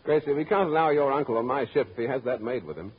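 A very husky man. He speaks quickly and wryly in old radio comedy style. The recording is dull, with a long reverberant tail. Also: medium pitch. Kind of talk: 1930s radio comedy acting.

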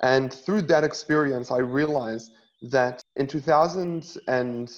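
A man in his thirties from New Zealand speaks as a rabbi in an interview. His middle-pitched voice comes through slightly dull, lo-fi audio. He talks earnestly, with frequent uhs and restarts. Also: slow pace. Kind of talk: interview guest telling his story.

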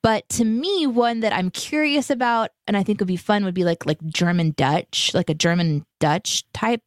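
A woman's voice: medium pitch, normal speed, no echo, studio quality. A woman in casual, unscripted conversation, speaking in a playful, informal way.

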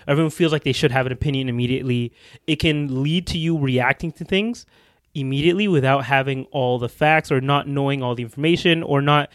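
A man's voice: medium pitch, dry recording, clean studio sound. Teasing young man podcast co-host. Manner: measured, teasing, thinks aloud. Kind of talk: casual chat.

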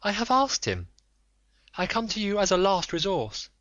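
A man's voice: high-pitched, sing-song, normal speed, a bit bright, dry recording, pitched above the performer's own voice. A man voicing an anxious noble Victorian lady who pleads in formal, earnest speech. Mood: desperate. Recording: dull lo-fi dry recording.

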